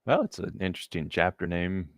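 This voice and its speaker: A man co-hosting in casual chat, reacting with playful, slightly halting asides. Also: deep voice, expressive, no room sound, clean studio sound. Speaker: co-host.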